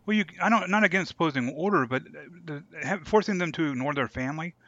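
A man with a bright, forceful voice gives his opinions as a podcast co-host. His casual delivery is halting and deliberate, and the sound is dry and slightly muffled. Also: on the high side, expressive, no room sound. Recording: dull, lo-fi close microphone.

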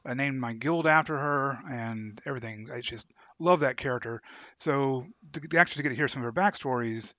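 A man co-hosting a podcast, chatting casually and haltingly as he searches for words. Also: mid-range voice, fairly bright tone, no room sound, lo-fi sound. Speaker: podcast co-host. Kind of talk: casual chat reviewing an audio drama.

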